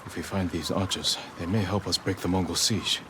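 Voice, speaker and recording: A man playing a stoic, honorable samurai lord in a video game, grave, measured and terse. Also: low voice, fairly even intonation, noisy background, close to an everyday voice. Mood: firm, composed. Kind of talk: dramatic video game acting.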